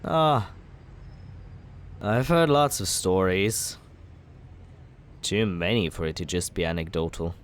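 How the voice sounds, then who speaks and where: A man in an ASMR roleplay as a villain, talking smoothly and persuasively in a teasing, flirtatious way. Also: deep voice, slow pace, pauses often.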